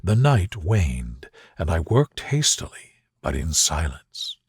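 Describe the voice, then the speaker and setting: A man narrating a dark first-person horror story as a bedtime reading, deliberate and dramatic, sometimes dropping into a whisper. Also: low-pitched, big pitch swings, slightly rough, no room sound, clean studio sound. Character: nervous, obsessive madman confessing a murder, first-person narrator.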